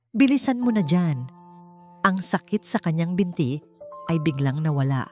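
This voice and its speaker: A Filipino woman tells a romance story in a slightly low voice. Her phrasing is dramatic and deliberate, as scripted narration, with music playing clearly behind her. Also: darkish tone.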